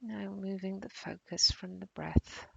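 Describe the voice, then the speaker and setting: Female meditation guide: soothing, very slow delivery, soft breathy endings, close dry sound. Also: medium pitch, no room sound, dull audio. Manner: calm, soothing, unhurried with long pauses. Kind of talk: guided body-scan meditation.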